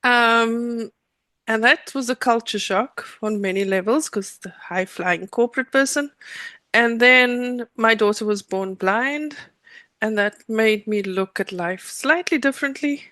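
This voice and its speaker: A woman's voice: on the high side, a little slow, pushed and bright, no room sound. A middle-aged woman with a South African accent, telling her own story conversationally as an interview guest.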